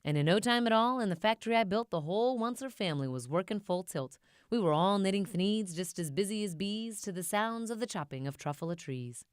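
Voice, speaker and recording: A woman reading a rhyming children's storybook aloud in a rhythmic, theatrical, expressive way. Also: medium pitch, brisk, clean tone, dry recording, clean studio sound. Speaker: storybook reader.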